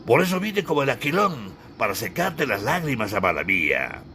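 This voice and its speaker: A man's voice: medium pitch, very animated, moderate pace, creaky, sharp tone, slight background noise, pitched above the performer's own voice, exaggerated voice. A man voicing a proud, grandiose Renaissance duke in an audiobook, declaiming pompously. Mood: triumphant, fervent. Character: proud, grandiose Renaissance duke, self-styled son of Zeus. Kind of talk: dramatised audiobook.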